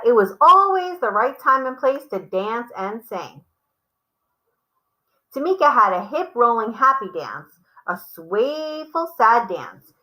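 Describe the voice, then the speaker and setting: A woman reading a children's story aloud in an expressive, clearly phrased way with stressed words. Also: medium pitch, poor audio.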